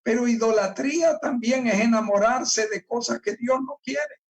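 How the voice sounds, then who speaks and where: A male pastor preaching a sermon, reading scripture aloud and explaining it with deliberate emphasis on key words. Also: very high voice, vocal fry, distant mic. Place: church.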